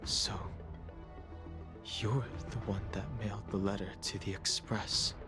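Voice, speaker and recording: A man's voice: lowish voice, flat delivery, unhurried, lightly acted. An actor voicing a reserved, guarded young man who speaks tersely. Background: game music.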